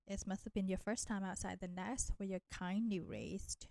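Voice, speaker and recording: Woman playing a teasing vampire seductress, creaky voice. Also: medium pitch, mellow, no room sound, lightly acted. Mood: soothing, wry. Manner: slow, teasing, coaxing, addressing listener with pet names. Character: flirty, teasing, nurturing female vampire, ancient enemy turned caretaker. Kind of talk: intimate roleplay audio drama.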